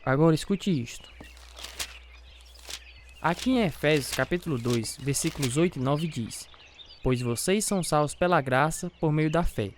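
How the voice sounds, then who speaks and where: A man's voice: on the high side, expressive, moderate pace, long pauses, a little hiss or hum, lightly acted. A man acting as an earnest former boxer turned evangelist, narrating his life story in a scripted radio drama. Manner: earnest, devout. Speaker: narrator and lead actor.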